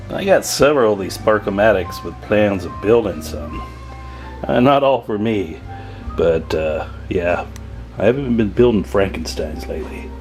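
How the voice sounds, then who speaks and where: A man hosting a hobby video, talking through a hands-on demonstration in an informal, chatty, slangy way. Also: fairly low pitch. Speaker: host of a CB radio repair video.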